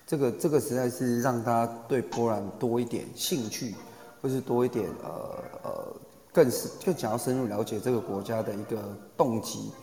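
A man giving casual live comments as a host, halting and repeating his words as he goes. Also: mid-range voice, steady tone, mellow. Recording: echoey, long reverb.

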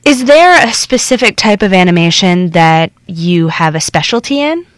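A woman's voice: fairly low pitch, expressive, normal speed, dry recording, studio quality. A woman interviewer asking brief, curious questions.

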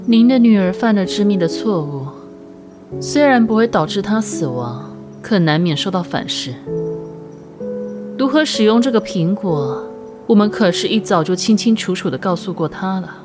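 A composed, courteous proprietress of a magic shop played by an actress, with a medium-pitched voice. She is polite and measured yet faintly sinister and knowing, a radio-drama role over clear music. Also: animated, lightly acted. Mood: cool, knowing.

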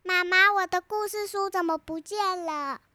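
A woman's voice: very high voice, normal speed, sharp tone. A young girl playing an earnest, outspoken storybook heroine, reciting her lines carefully. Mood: puzzled. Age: child.

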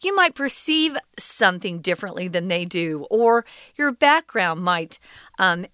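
A woman co-hosting an English lesson podcast, explaining words patiently in a relaxed conversational way with fillers. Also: medium pitch, clean tone, dry recording, studio quality. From United States. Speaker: co-host teaching English.

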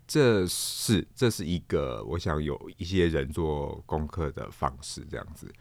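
A man with a Taiwanese accent answering casually as an interview guest, halting and repeating words as he thinks. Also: extremely low pitch, animated, vocal fry, darkish tone, no echo. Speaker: interview guest, stage performer.

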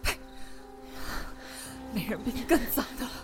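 A woman's voice: moderate pace, music in the background, close to an everyday voice. A woman playing an earnest young woman in an audio drama, with an emotive delivery. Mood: defiant, frightened. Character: young woman, defiant captive and doting girlfriend.

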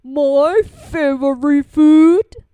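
A woman voicing a big, menacing but gullible monster in a storybook, with a slow, heavy, loud delivery. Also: high-pitched, dry recording. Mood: hungry menace. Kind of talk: storybook character voice.

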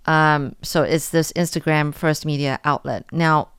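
Female interview host, easygoing and chatty, low-ish voice, clean dry studio mic. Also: measured pace, clear, resonant tone, no room sound. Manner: relaxed, chatty, laughs often. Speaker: radio show host. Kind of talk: casual interview.

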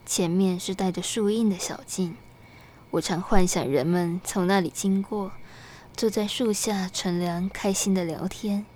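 A woman's voice: medium pitch, fairly even intonation, unhurried, darkish tone, a little hiss or hum, lightly acted. A woman acting an anxious, melancholy young wife shut away in a room, in an audio drama. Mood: wistful.

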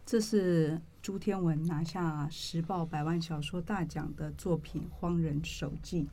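A woman hosting an interview in a measured, earnest, reflective way. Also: low-pitched, steady tone, warm tone. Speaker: interview host.

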